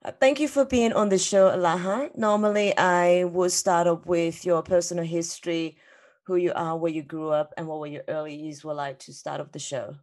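A woman's voice: lowish voice, fairly even intonation, normal speed, a little mellow. A woman hosting a podcast, reading an introduction and then asking questions with brief encouraging affirmations. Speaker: podcast host.

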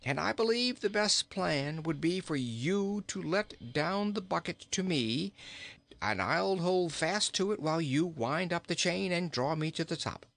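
A man's voice: on the high side, lively intonation, a little slow, gravelly, a bit bright, pitched above the performer's own voice, exaggerated voice. A man voicing a plump, jolly, pun-loving king in a theatrical storybook manner. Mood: rueful, talkative. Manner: theatrical, exclamatory, chuckling at own jokes.

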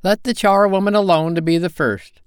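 A man's voice: sits high, steady tone, normal speed, clear, resonant tone, dry recording, studio quality, pitched above the performer's own voice, natural voice. A man voicing a bold, defiant Victorian charwoman with a brash, scornful way of talking. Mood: mocking.

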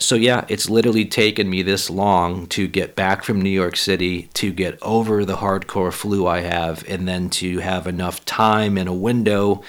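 A man hosting a solo podcast, a little hoarse, talking in a rambling, profane, self-deprecating way. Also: low voice, a bit bright. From Boston area. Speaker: podcast host and stand-up comic. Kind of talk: casual solo podcast monologue.

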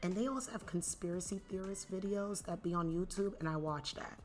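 Brief: gossipy woman podcast guest, slightly low pitch, animated storyteller. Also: fairly quick. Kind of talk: casual podcast chat.

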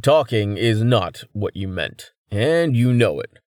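A man's voice: lowish voice, expressive, takes it slowly, darkish tone, no room sound, studio quality. A man voicing a good-humored, easily flustered young man in love, with a wry manner, in an audiobook. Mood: flustered protest. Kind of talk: audiobook character dialogue.

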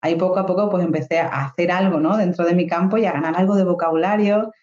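A woman's voice: on the low side, steady tone, fast talker, darkish tone, roomy sound, muffled recording. A woman in her thirties from Spain with an Andalusian accent, a psychologist and coach talking reflectively as an interview guest, often tagging her sentences with little questions. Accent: Andalusian Spanish. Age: 30s. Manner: reflective, frequent tag questions. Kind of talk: casual interview talk.

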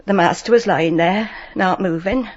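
An actress playing a dutiful, plain-spoken English household cook in a radio drama, deferential and halting. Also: female voice, mid-range voice, natural voice. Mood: shaken. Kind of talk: period radio drama acting. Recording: dull lo-fi radio drama recording.

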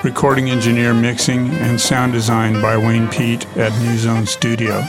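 A man serves as announcer, reading scripted credits. His mid-pitched voice stays very level, with little melody, and guitar music plays clearly behind him. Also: completely flat delivery, moderate pace. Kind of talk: scripted narration.

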